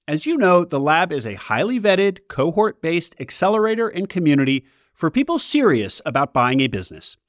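Upbeat male podcast host, bright and animated, close dry mic. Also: a little high, moderate pace, few pauses, dry recording. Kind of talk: scripted podcast intro and interview.

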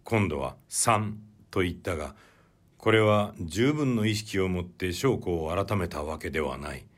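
Low-pitched man narrating literary fiction. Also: expressive, measured pace. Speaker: narrator reading a literary short story.

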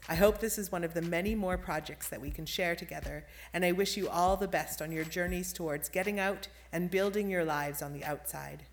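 Low-pitched woman reading podcast credits. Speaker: theatre company member presenting podcast credits. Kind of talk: scripted thanks and announcements.

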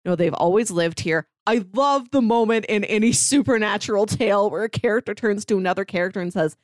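A woman's voice: mid-range voice, fast, no echo, clean studio sound. A woman co-hosting a casual review chat, retelling stories in a conversational way. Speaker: podcast co-host reviewing audio drama.

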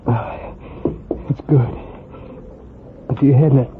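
An American actor playing an earnest, troubled young runaway of about twenty, speaking haltingly in old radio western style. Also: male voice, medium pitch, steady tone. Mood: weak, weary. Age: young adult. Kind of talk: 1940s radio western acting. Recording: muffled, hissy vintage radio recording.

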